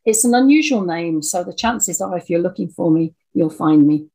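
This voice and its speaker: A woman's voice: lowish voice, expressive, moderate pace, dark tone. A woman answering interview questions in a conversational way, as a guest writer. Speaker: interview guest, audio dramatist.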